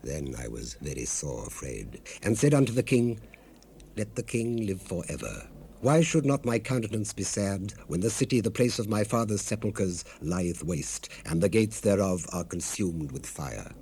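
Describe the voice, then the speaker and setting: A deep-voiced, devout servant of an ancient king, speaks in solemn, archaic scripture. His dark, mellow tone carries earnest, measured humility, as in a 1970s Bible audio drama. The cassette transfer adds light hiss. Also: big pitch swings. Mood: anxious, respectful. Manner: solemn, fervent, measured, formal archaic delivery. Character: devout, grieving Old Testament royal cupbearer, earnest and humble. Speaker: actor in a dramatized Bible recording. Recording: noisy unprocessed cassette rip.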